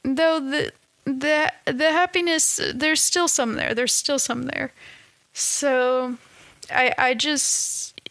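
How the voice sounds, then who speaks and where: A woman talking in a casual, reflective monologue, halting and restarting often, with a slightly nasal, stuffed-up voice. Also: high-pitched, steady tone, no room sound. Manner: halting, many restarts and soft laughs. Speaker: vlogger.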